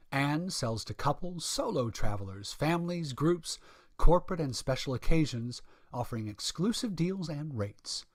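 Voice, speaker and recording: A man working as sponsor announcer, giving a scripted, measured promotional radio ad read. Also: medium pitch, no echo, clean studio sound.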